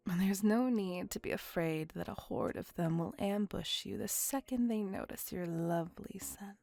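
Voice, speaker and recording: A woman playing an ancient, possessive and tender female vampire in an intimate ASMR roleplay, breathy and whispery, with languid, formal, old-fashioned speech. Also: medium pitch, normal speed, a little mellow, dry recording, faint music behind, close to an everyday voice. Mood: doting, reassuring. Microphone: close microphone.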